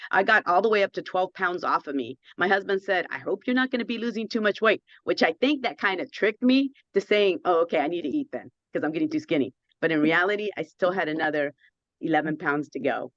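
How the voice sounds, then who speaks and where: Hoarse, earnest woman in her fifties. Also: fairly low pitch, expressive, fast talker, no echo, dull audio. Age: 50s. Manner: earnest, emphatic, pleading. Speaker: guest sharing her experience.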